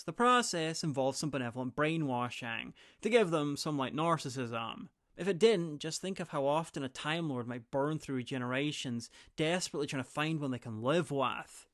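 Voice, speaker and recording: A man talks through a TV episode in a snarky, mocking recap and drops the odd curse. His voice sits somewhat high for a man. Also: lively intonation, normal speed, hardly any pauses, a bit bright. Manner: sarcastic, swearing, mocking. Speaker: TV episode reviewer. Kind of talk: comedic recap commentary.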